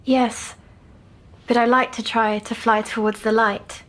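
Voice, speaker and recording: Timid, high-voiced young girl. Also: level tone, measured pace, fairly bright tone. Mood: wistful, guarded. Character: timid, dreamy young girl patient recounting nightmares. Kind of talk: audio drama acting, hushed storytelling.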